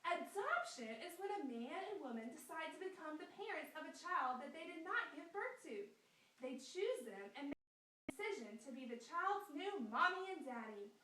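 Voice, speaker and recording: A bright, high, lively girl puppet, voiced by a woman, earnest and explanatory in a sing-song storybook manner. Her voice swoops up and down with a sharp, brilliant edge. She sounds set back from the mic in an echoey room. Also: normal speed, far from the mic, exaggerated voice. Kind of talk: scripted puppet skit read aloud.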